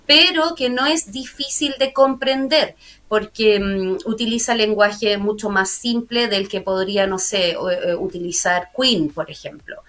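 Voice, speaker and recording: A woman's voice: mid-range voice, measured pace, fairly bright tone. A woman English teacher with a Chilean accent, explaining language learning in an earnest, step-by-step way during a studio interview. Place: broadcast studio.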